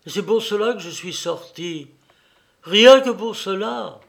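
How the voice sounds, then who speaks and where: A man's voice: high-pitched, unhurried, creaky, fairly bright tone, voice pushed up, heavily acted. A man voicing an anguished, fearful young man who pleads with his mother in an agitated, dramatic way, as in an audiobook. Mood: frightened, agitated.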